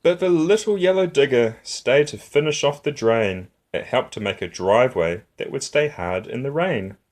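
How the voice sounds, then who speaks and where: A man reading a rhyming picture book aloud for young children in an expressive, rhythmic way, as a storytime host. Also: medium pitch, normal speed.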